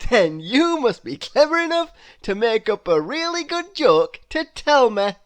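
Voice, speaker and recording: A woman's voice: fairly high pitch, very animated, unhurried, voice pushed up. A woman voicing a cheerful, joke-loving little man from a children's storybook, exclaiming emphatically.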